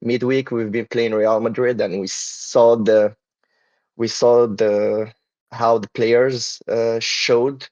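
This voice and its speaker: A man's voice: lowish voice, darkish tone, no echo. A man from Morocco hosting a casual podcast chat, talking with many fillers and restarts. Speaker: podcast host. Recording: dull lo-fi dry sound.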